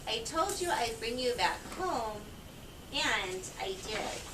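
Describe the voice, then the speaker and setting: A woman's voice: fairly high pitch, big pitch swings, takes it slowly. A woman voicing a confident, boastful young male rabbit in a children's story, dismissive and self-assured. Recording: echoey, distant, noisy home recording.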